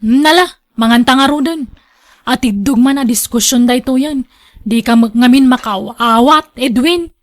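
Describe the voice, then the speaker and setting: A woman's voice: high-pitched, normal speed, natural voice. A woman acting a thrifty, exasperated wife and mother in a radio drama, with an Ilocano Filipino accent.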